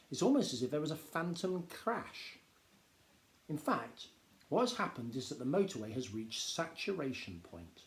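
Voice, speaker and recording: A man reading a popular non-fiction book aloud like a teacher, explaining in a measured, expressive way. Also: on the high side. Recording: echoey, roomy sound.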